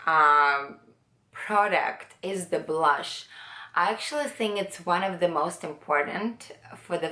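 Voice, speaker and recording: A woman from Latvia, giving a makeup tutorial in a hesitant way, often pausing to search for words. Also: fairly low pitch, pushed and bright, reverberant. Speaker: beauty vlogger.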